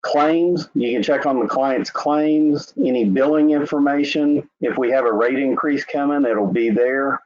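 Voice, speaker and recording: A man's voice: mid-range voice, unhurried, few pauses. A man from Oklahoma with an Oklahoma accent, walking viewers through a website during a webinar in a loose, conversational way with frequent "uh" and "anyway.". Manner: relaxed, conversational, with filler "uh" and "anyway". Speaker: insurance company representative. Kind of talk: screen-share webinar walkthrough. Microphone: video call. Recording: dull lo-fi web call audio.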